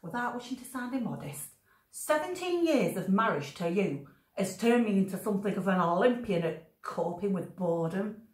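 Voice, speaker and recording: A woman's voice: mid-range voice, animated, moderate pace, close to an everyday voice. A woman performing a theatrical monologue as a snobbish, scornful wife, haughty, sardonic and wordy. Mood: scornful, exasperated. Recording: echoey room, distant mic.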